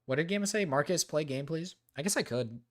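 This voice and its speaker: Excitable young man streaming. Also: a little high, a little fast. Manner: excitable, swears, swings from loud indignation to mumbling. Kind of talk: casual reaction stream chat.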